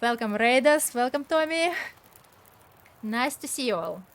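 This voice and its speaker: Older woman, game streamer; slow, chatty, laughs often, fairly high voice. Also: lively intonation. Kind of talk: casual live-stream chat while gaming.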